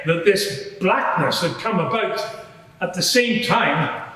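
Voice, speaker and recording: A man playing a matter-of-fact Roman centurion giving hesitant, measured testimony as a witness at an inquiry. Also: high-pitched, lively intonation, bright, forceful tone, heavily acted. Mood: earnest, unsettled. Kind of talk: semi-improvised courtroom testimony. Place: large reverberant courtroom. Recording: very echoey hall, distant mic.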